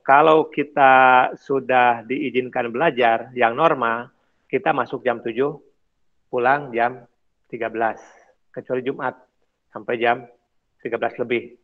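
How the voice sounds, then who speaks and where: A middle-aged man, a teacher with a West Java Indonesian accent, explaining things informally and haltingly to students on a video call. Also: mid-range voice. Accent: Sundanese-region Indonesian. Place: small indoor office or classroom, on video call. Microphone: headset on video call. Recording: dull, lo-fi video-call audio.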